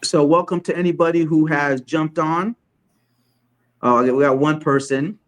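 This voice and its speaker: A man from Chad hosting an online talk show, speaking casually with frequent restarts and pauses as he sets things up and welcomes viewers. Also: fairly high pitch, steady tone. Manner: halting, many restarts while setting up. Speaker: host. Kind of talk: casual livestream interview hosting.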